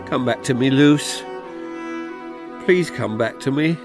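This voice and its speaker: An actor playing a bewildered, pleading middle-aged British husband whose wife has vanished, in a radio drama. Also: male voice, medium pitch, slow, music in the background, natural voice. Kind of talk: BBC radio drama acting.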